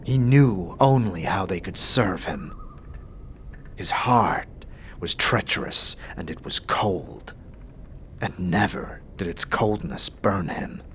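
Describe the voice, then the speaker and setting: Solemn, honour-bound fantasy warrior, played by a man: low, very slow, formal, weighed down by sorrow. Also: expressive, fairly bright tone, slight background noise, heavily acted. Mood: bitter, solemn. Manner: solemn, formal, halting delivery. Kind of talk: fantasy audio drama acting.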